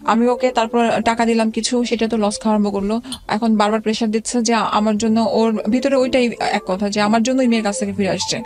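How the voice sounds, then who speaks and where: Bangladeshi female guest, middle-aged, personal storytelling at a quick clip, with broken-off words and restarts. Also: a little high, steady tone, a little mellow. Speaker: interview guest telling own life story. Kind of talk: personal storytelling in a radio interview.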